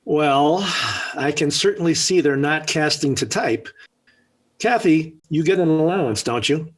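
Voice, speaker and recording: Exasperated, swooping radio sitcom dad. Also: male voice, on the high side, expressive, measured pace. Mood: sardonic, firm. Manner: stern, dry, put-upon. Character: exasperated, sardonic middle-aged suburban father. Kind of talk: 1940s radio sitcom acting. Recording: vintage 1940s radio broadcast.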